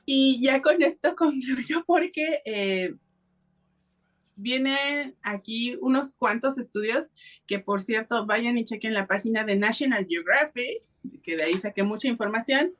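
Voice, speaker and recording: A woman's voice: on the high side, lively intonation, a little slow, fairly bright tone. A woman with a Mexican accent hosting a podcast, telling a story in a casual, colloquial and explanatory way. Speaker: podcast host.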